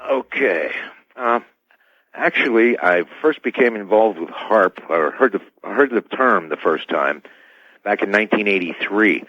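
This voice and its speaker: A middle-aged man giving formal, halting technical explanations as an expert guest in a radio interview, over a dull band-limited connection. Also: fairly low pitch, animated, slightly rough, no echo. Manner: hesitant, formal, technical wording. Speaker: expert guest, scientist and inventor.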